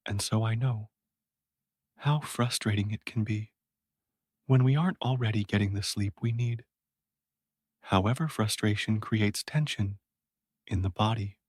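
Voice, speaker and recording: A man speaking slowly and softly as he guides a sleep meditation, with long soothing pauses. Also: lowish voice, breathy edge, no room sound, clean studio sound.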